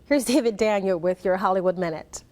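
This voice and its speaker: A woman's voice: mid-range voice, brisk, mellow. A woman anchor presenting entertainment news, with casual asides between items.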